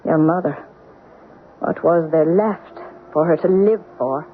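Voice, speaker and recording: An actress playing an older Irish woman who gravely tells family secrets in deliberate, lilting radio-drama storytelling. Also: sits low. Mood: sorrowful. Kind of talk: old-time radio drama acting. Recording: tinny, noisy old radio broadcast.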